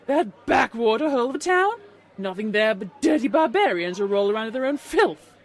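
A woman's voice: on the high side, sing-song, a little fast. A woman voicing a cruel, snobbish upper-class female unicorn who sneers with haughty contempt in a cartoon-style story. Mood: disdainful.

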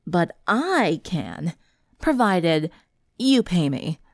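A woman's voice: low-pitched, expressive, slow pace, no room sound, studio quality, pitched below the performer's own voice, heavily acted. Woman as a slick, swaggering vampire girl. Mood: smug. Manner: slick, confident, taunting.

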